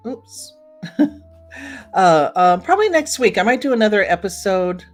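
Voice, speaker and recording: A woman's voice: medium pitch, sing-song, unhurried, soft background music. A woman hosting a solo podcast in a casual, chatty way, talking directly to her listeners. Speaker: podcast host. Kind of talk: casual solo podcast commentary on old time radio.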